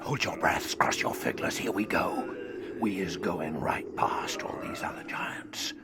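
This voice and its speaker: An actor playing a kindly, bumbling old giant in a children's audio drama, talking in quaint, muddled grammar. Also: male voice, sits low, measured pace, in a whisper. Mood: hushed, fearful.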